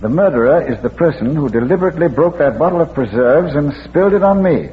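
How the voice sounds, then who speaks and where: A man plays a deliberate, scholarly gentleman sleuth in an old radio mystery. His wry, pedantic lines swing widely in pitch, with small hesitations. The recording is dull and hissy. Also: lively intonation, lightly acted. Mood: explaining. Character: erudite, deliberate gentleman amateur detective. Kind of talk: vintage radio mystery acting.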